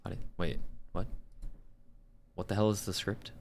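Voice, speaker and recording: A man's voice: low-pitched, steady tone, normal speed, vocal fry, slight background noise. A man who works as a voice actor, chatting casually and self-mockingly with some swearing as he records on a livestream. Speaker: voice actor streamer.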